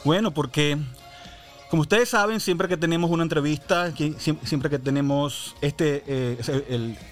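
Chatty pastor hosting radio, music behind. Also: male voice, on the high side, normal speed. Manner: warm, chatty, many restarts. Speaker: pastor and interviewer. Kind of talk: casual radio interview.

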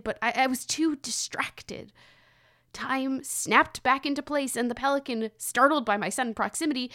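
A woman playing an earnest crypto-naturalist researcher in a scripted audio drama, speaking with dramatic pauses and awed hesitations. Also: on the high side, dry recording, studio quality, natural voice. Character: earnest female crypto-naturalist field researcher reporting strange discoveries.

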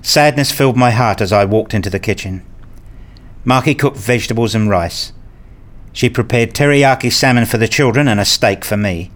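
A man's voice: fairly low pitch, close to an everyday voice. A man playing a frustrated, pleading father who tells his own life in a radio play, wordy and earnest. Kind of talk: first-person radio play narration and dialogue.

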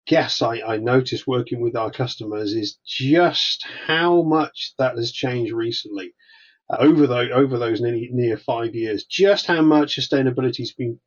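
A man with a Welsh accent, a guest on a podcast, talking casually with frequent restarts. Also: mid-range voice. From Wales. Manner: hesitant, many restarts. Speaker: guest consultant. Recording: slightly dull lo-fi sound.

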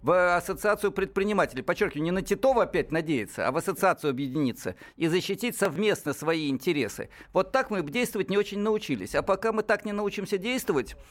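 A man's voice: high voice, expressive, fast talker, hardly any pauses. A man in his sixties co-hosting a radio talk show, speaking in a lecturing, professorial way. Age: 60s. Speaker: radio co-host.

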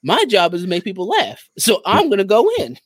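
A man's voice: sits high, big pitch swings, brisk, husky, no room sound, clean studio sound. A man co-hosting a podcast, recounting things in a casual, conversational way. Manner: conversational, retelling. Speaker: podcast co-host.

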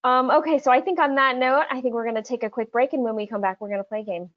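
A woman hosting a casual game segment in a chatty podcast style, playful and quick to laugh as she talks. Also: fairly high pitch, fairly even intonation, dull audio, noisy background. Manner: playful, laughs often while talking. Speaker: podcast host.

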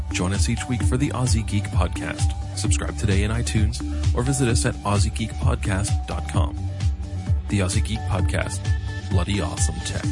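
Gravelly male promo announcer over loud music. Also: on the low side. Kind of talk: scripted podcast promo. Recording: loud music bed under voice.

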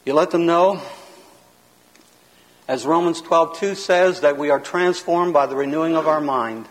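A man teaching in a loud, emphatic sermon style, his voice building into a preaching cadence. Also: a little high, unhurried. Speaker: pastor teaching a seminar. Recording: long hall reverb.

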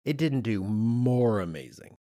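Man, podcast co-host, lively swooping intonation, conversational, self-deprecating, dry studio-like sound. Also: medium pitch, a little slow, dry recording.

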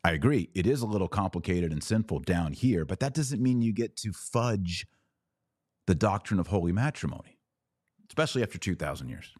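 A man with a low-pitched voice delivers opinionated religious commentary as a podcast host. He is emphatic and argumentative, stretching out the words he wants to stress. The sound is dry, with very little room around the voice. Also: animated, fairly quick, a little mellow, no room sound.

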